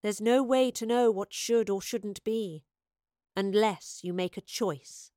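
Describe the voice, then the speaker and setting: A woman's voice: mid-range voice, warm tone, dry recording, clean studio sound, natural voice. A woman voicing a smug, menacing woman in a story, sneering and condescending as she speaks. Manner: condescending, deliberate.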